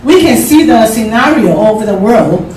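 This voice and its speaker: A middle-aged woman with a Taiwanese accent giving an informal forum talk, haltingly, searching for words. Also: a little high, animated, a little mellow. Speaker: forum speaker. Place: large echoey indoor room at a forum. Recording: very echoey room, distant mic, clear noise.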